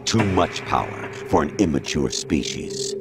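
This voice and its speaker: An actor playing a cold, condescending male machine intelligence in a video game, lecturing in a measured way. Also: very deep voice, lively intonation, full character voice. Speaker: game character. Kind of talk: video game cutscene voice acting. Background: loud dramatic music score.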